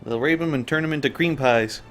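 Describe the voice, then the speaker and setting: A man's voice: on the high side, fairly quick, a bit bright. A man hosting a game stream with casual, sweary, joking commentary, game music playing behind him. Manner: sweary, mock-quoting. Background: video game music.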